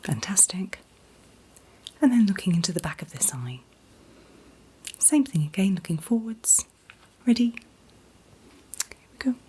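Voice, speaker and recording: A woman in a gentle, soothing ASMR roleplay as an optician, whispering breathily and reassuringly without hurry. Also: mid-range voice, very animated, a little slow, pauses often, a little hiss or hum, low-quality recording. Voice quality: breathy, mostly unvoiced whisper. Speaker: ASMR performer playing an optician.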